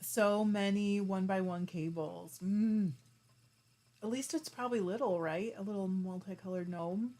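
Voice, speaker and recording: A woman hosting a fiber arts live stream, chatting casually with viewers and reading their comments aloud while she spins yarn. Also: medium pitch. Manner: chatty and warm, with frequent laughs and quick asides; talks while spinning yarn.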